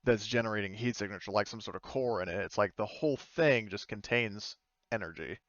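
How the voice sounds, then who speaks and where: A dry, slightly muffled recording of a man with a smooth, clean voice. He is a game master improvising descriptions, tentatively rewording as he goes. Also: fairly low pitch, fairly quick, a bit bright, no echo. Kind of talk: improvised tabletop roleplay narration.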